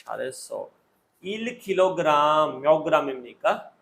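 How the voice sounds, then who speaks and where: A man's voice: on the high side, lively intonation, a little slow, vocal fry, a bit bright. A man acting as a Korean exam interviewer, giving short instructional commands and set questions.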